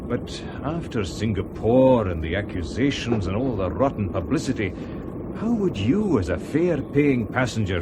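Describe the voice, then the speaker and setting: Guy acting apologetic airline boss in a radio play, halting and careful, swoopy intonation, engine noise behind. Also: mid-range voice, lightly acted. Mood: earnest. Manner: halting, tactful, reluctant. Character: hesitant, apologetic airline official breaking bad news. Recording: noisy radio drama with engine ambience.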